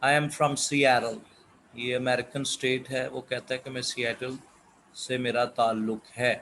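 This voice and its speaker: Slow, deliberate male teacher. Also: mid-range voice, flat delivery, a bit bright, slight background noise, low-quality recording. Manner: reads English examples slowly and deliberately, then explains in Urdu-flavored Hindustani. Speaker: English teacher. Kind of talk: slow explanatory lesson, reading English phrases and translating.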